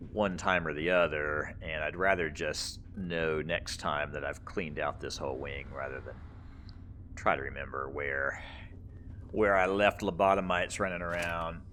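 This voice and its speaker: Low-voiced man giving gameplay commentary. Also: pushed and bright. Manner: explains his tactics as he plays. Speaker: let's play host. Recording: clear game noise behind voice. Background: video game sound effects.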